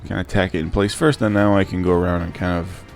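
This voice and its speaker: A man narrating a casual DIY how-to in an informal, halting, hesitant way. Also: deep voice, little pitch movement. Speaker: DIY prop maker and channel host. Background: music.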